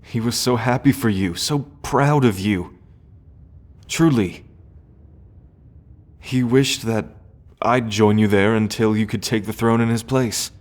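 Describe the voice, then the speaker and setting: A man playing an earnest, loyal prince in a fantasy audio roleplay, speaking in a formal, measured and hesitant way. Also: on the low side. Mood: somber, grieving. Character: earnest, grieving prince, loyal sibling of the dragon heir.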